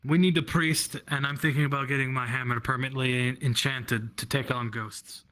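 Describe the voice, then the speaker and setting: A man's voice: medium pitch, steady tone, fairly quick, pushed and bright, natural voice. A man playing an obedient, practical town guard in a fantasy roleplay, talking in a casual, matter-of-fact way. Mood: concerned. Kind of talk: improvised fantasy roleplay in a virtual world.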